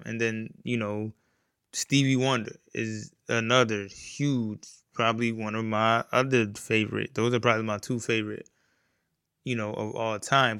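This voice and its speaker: A man's voice: on the low side, gravelly, bright, forceful tone, clear, resonant tone, dry recording, clean studio sound. A man in his forties hosting a solo podcast as a music producer, talking in a rambling, easygoing way with lots of fillers and restarts. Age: 40s. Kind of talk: casual solo podcast talk.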